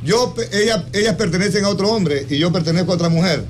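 A man's voice: sits high, quick pace, soft background music. A man with a coastal Ecuadorian accent hosting a radio show with casual, jokey banter, colloquial and often repeating his phrases. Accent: coastal Ecuadorian Spanish.

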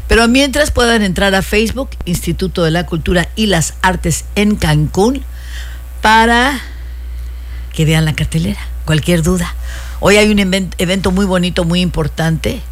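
A talk show host, effusive and enthusiastic, introducing guests and promoting local cultural events. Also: female voice, on the low side.

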